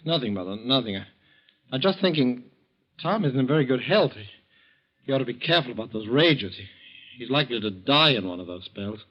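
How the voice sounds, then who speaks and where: Man playing a weak scheming son, young, animated pitch, sly, hesitant, 1940s radio drama, muffled vintage sound. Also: a little fast, close to an everyday voice. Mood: sly, insinuating. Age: young adult. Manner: sly, hesitant, falsely solicitous.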